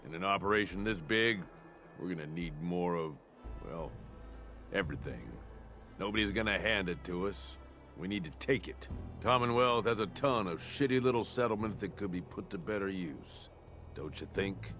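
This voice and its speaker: Rough raider second-in-command, real deep, slangy and scheming. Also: male voice, moderate pace, fairly bright tone, faint music behind. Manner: rough streetwise drawl, persuasive. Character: gruff scheming male raider lieutenant.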